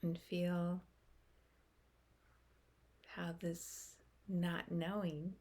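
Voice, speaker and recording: A woman leading a guided meditation in a gentle, unhurried manner, speaking in short phrases with long pauses. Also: on the low side, flat delivery.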